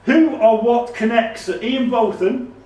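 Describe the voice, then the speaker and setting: High-voiced quizmaster, distant and echoey. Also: male voice, a little slow, darkish tone. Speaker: quizmaster reading questions. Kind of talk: live quiz question reading and adjudicating. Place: echoey indoor room at a live quiz event. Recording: distant mic in echoey room, background noise.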